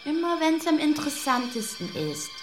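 A woman's voice: high voice, moderate pace, over music, close to an everyday voice. A woman playing an eager, smitten little girl in a German radio play. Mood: eager, defiant.

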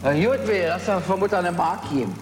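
A man's voice: high-pitched, expressive, quick pace. A man playing a proud, easily irritated father in an East German television comedy sketch. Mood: eager. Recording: archive TV sound, reverberant hall, music.

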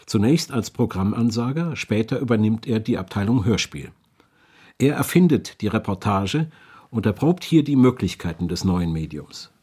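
A man with a husky voice reading scripted narration for a documentary. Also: on the low side, animated, moderate pace, a little mellow.

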